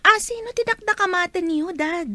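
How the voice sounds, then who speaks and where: A woman's voice: extremely high pitch, moderate pace, bright, forceful tone, dry recording. A woman with an Ilocano accent playing a wary, questioning teenage daughter in a radio drama. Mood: curious, questioning. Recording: background music under the voice.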